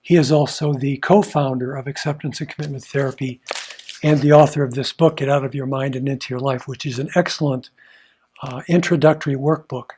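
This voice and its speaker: A man's voice: mid-range voice, fairly even intonation, fairly quick, darkish tone, distant mic, slight background noise. A man hosting and teaching on self-help, speaking earnestly and repeating phrases for emphasis. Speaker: host, therapist teaching a class and interviewing.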